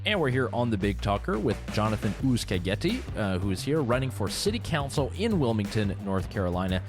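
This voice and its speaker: Low-voiced sarcastic radio host. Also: male voice, brisk, over music. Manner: animated, sarcastic, laughs while ranting. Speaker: radio talk show host. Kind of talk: opinionated radio talk.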